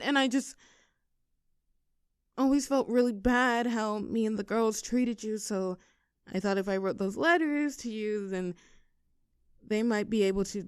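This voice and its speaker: A woman playing a popular high school cheerleader bully with a hidden soft side in an audio roleplay, teasing and sarcastic. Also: clean tone, dry recording, clean studio sound, natural voice. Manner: teasing, sarcastic, with flustered stammers when cornered. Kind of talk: audio roleplay acting, one side of a dialogue.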